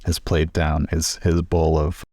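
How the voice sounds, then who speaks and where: A man improvising narration for a tabletop game in a halting, deliberate way, repeating phrases as he goes. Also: a bass voice, monotone, mellow, dry recording, studio quality.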